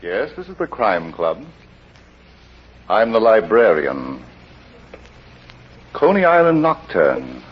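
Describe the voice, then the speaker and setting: An actor playing a mysterious, unhurried librarian who narrates crime stories in a theatrical, deliberate 1940s radio style. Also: male voice, on the low side, very animated, breathy edge, exaggerated voice. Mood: ominous welcome. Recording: noisy, dull old radio recording.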